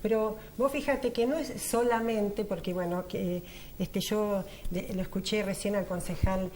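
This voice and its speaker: A woman's voice: medium pitch, steady tone, unhurried, a little mellow, slight background noise, rough recording. A woman, speaking on a panel discussion, polite and hesitant with many fillers as she states partial disagreement.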